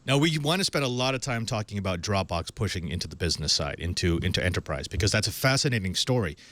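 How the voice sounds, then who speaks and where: A man hosting a tech podcast, reading a sponsor spot and then welcoming and questioning a guest in a lively way. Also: on the low side, fast, a little hiss or hum. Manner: animated, leading questions with fillers. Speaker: podcast host. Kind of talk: tech show hosting and ad read.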